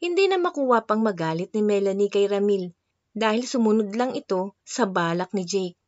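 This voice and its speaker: A woman's voice: medium pitch, expressive, moderate pace, darkish tone, no echo, highs cut off. A woman storyteller reading a scripted romance narration.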